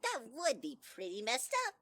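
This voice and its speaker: A woman's voice: sits high, huge pitch swings, moderate pace, a bit bright, no room sound, exaggerated voice. A woman voicing a small, sly, manipulative male talking flower with a sugary, faintly scheming sweetness, in an audio drama.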